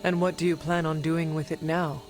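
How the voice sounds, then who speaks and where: A man's voice: a little high, level tone, brisk, natural voice. A man playing a solemn, resolute young hunter in a video game cutscene, with formal, stately phrasing. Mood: composed. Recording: loud game music behind.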